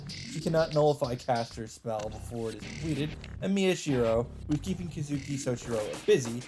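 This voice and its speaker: A man's voice: medium pitch, moderate pace. A man reading visual novel narration aloud as a let's player, in a scripted storytelling style. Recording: music behind the voice. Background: game music.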